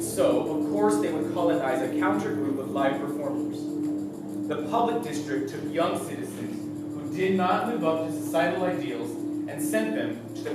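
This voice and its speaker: A man acting an earnest investigator who deliberately lays out a case in a theatrical monologue. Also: high voice. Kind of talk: theatrical monologue in a stage play. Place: theater stage. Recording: echoey theater, distant mic, loud music behind.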